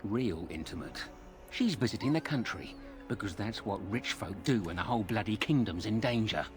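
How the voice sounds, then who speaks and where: An actor playing a chatty, sly ex-burglar turned fence in a video game, talking in colloquial patter. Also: male voice, on the low side, lively intonation, normal speed, over music, lightly acted. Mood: conspiratorial. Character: cheeky roguish male thieves' fence, ex-burglar with a conscience. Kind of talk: video game character dialogue.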